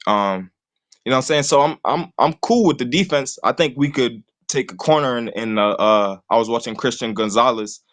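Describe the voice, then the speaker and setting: A man's voice: medium pitch, normal speed, a bit bright. A man, talking sports casually and rambling, leaning on "you know what I'm saying" all the time. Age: 20s. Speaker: call-in guest host.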